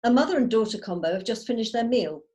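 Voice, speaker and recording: A woman reading out screenplay stage directions as measured, storytelling narration. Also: fairly high pitch, animated, a little mellow. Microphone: video call.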